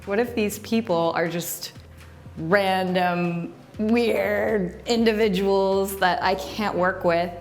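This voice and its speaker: A woman's voice: mid-range voice, steady tone, slow pace, soft background music. A woman talking candidly to camera about the programme she runs, rambling and self-deprecating. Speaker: agency coach and show host.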